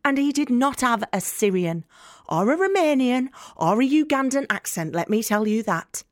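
Young Northern English student, sharp-tongued, sardonic, defiant, audio drama monologue, slightly raised pitch, dry studio sound. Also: female voice, very animated, moderate pace, dry recording. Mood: scornful, impassioned. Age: young adult. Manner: blunt, sardonic, sweary.

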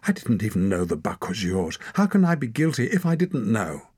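A man's voice: deep voice, brisk, darkish tone, breathy edge, no room sound, studio quality. A man reading an audiobook, voicing a defiant young boy who pleads and insists under threat. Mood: desperate. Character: wild young boy of the forest, defiant and desperate.

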